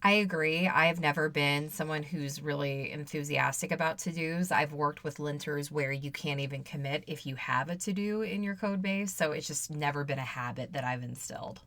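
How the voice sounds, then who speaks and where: A woman speaking as the host of a podcast, in a conversational and clear-worded way. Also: low voice, normal speed, few pauses, a bit bright, no echo. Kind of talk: conversational interview with sponsor read.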